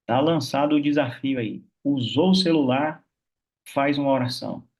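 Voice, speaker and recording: Church meeting host, husky-voiced man, chatty, over a video call. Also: medium pitch, normal speed. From Minas Gerais, Brazil. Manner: warm, chatty, many fillers like "né". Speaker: host of an online prayer meeting. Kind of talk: casual church meeting hosting.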